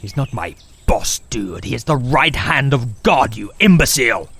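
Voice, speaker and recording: A man's voice: mid-range voice, big pitch swings, moderate pace, gravelly, fairly bright tone, husky, exaggerated voice. An actor playing a fanatical male cultist henchman who snarls threats and insults. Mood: snarling. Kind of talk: audio drama acting. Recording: music under the voice. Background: music.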